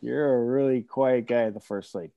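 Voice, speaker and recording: A man's voice: mid-range voice, normal speed, gravelly, no room sound, phone-quality sound. A man asking friendly, chatty questions in a casual group conversation. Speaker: podcast guest.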